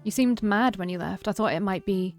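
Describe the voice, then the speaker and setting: An earnest young heroine, voiced by a woman reader, pleads her case in a story. She is hesitant yet stubborn, at a medium feminine pitch, with faint music beneath. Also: a little fast, darkish tone, close to an everyday voice. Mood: tentative, apologetic. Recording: background music behind the voice.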